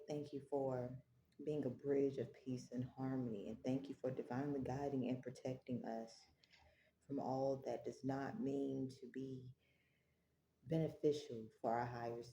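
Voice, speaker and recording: A woman with a very deep, dark and mellow voice leads a slow, prayerful tarot reading. She speaks softly in an echoey room with faint background noise. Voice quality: low voice. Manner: slow, prayerful, soft-spoken. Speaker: tarot reader. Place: home, early morning.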